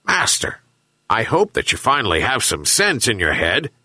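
A man's voice: fairly low pitch, huge pitch swings, moderate pace, pushed and bright, husky, dry recording, exaggerated voice. A man voicing a loyal, hungry, indignant dog in a storybook tale, with a yelping manner. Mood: reproachful. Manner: yelping, barking woofs.

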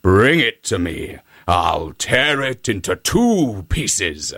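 A fierce, weakened lion, voiced by a man in a told storybook tale. He growls low and drags his words slowly. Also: big pitch swings, a bit bright, no room sound, studio quality, full character voice.

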